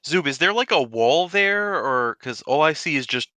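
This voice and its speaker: Male tabletop player, bright forceful tone, casual in-character banter, dry recording. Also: fairly high pitch, expressive, moderate pace, no echo. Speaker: tabletop role-playing player.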